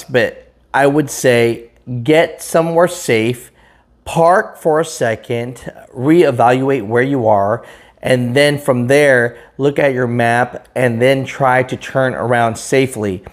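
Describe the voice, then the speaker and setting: A man in his thirties speaks slowly and earnestly, straight to the camera, like a trucking channel host having a casual chat with his viewers. His pitch sits in the middle for a man. The recording is close and indoors, picked up by a camera mic. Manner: slow, earnest, talks straight to camera with hand gestures. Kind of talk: casual vlog commentary. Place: indoors in front of a green screen.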